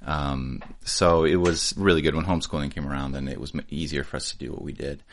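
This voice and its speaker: Guest, male, very low voice, easygoing and joking, swedish-born. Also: fairly quick, slight background noise. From Sweden. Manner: relaxed, joking, laughs often. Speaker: music producer, guest. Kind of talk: casual interview chat.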